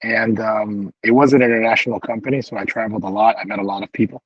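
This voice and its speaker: A man's voice: fairly low pitch, fairly even intonation, quick pace, no room sound, dull audio. A man born in Cuba and raised in South Florida, a realtor answering interview questions in an easygoing way, often restarting his phrases. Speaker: realtor guest.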